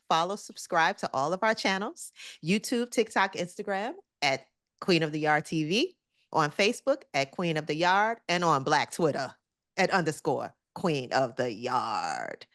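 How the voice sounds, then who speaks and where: A woman hosting an interview, asking eager, quick questions. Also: medium pitch, fairly bright tone, dry recording, clean studio sound. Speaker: host. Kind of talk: interview and channel promo.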